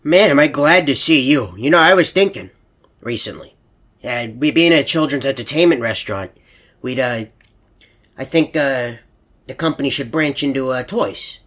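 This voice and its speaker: A man's voice: mid-range voice, expressive, moderate pace, fairly bright tone. A man voicing a sweet elderly animatronic hippo in a video game, telling meandering stories in a stammering, earnest, hesitant way. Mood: earnest, musing. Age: older. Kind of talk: rambling anecdotal monologue in a video game.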